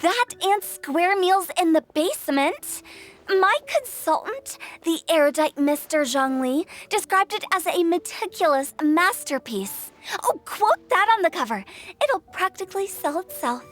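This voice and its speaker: A very high, girlish game voice for a bubbly young female director. She is cheeky and theatrical, pushing her case with boastful confidence. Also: animated, a little slow, fairly bright tone, faint music behind, full character voice. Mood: boastful, persuasive. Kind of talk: video game voice acting.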